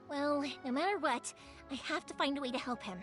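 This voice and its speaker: A woman's voice: high-pitched, moderate pace, natural voice. A woman playing a kind-hearted, cheerful young fireworks maker in a video game, speaking earnestly and expressively. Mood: concerned. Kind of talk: video game character acting. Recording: faint music behind the voice.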